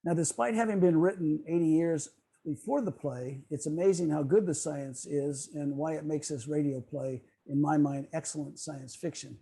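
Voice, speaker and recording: A man giving a prepared, explanatory lecture in a measured way on a video call. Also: fairly high pitch, gravelly, mellow, slightly rough. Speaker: presenter.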